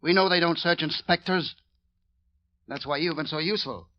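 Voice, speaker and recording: A man's voice: on the high side, normal speed, natural voice. An actor playing a cold, bullying gangster henchman, threatening in a clipped tough-guy delivery. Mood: menacing. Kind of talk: 1940s radio acting. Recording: dull old radio recording.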